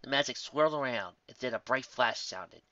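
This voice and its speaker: A man's voice: mid-range voice, expressive, a little fast, pushed and bright, dry recording, muffled recording. A man reading a scripted story aloud as its narrator. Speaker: narrator reading fan fiction.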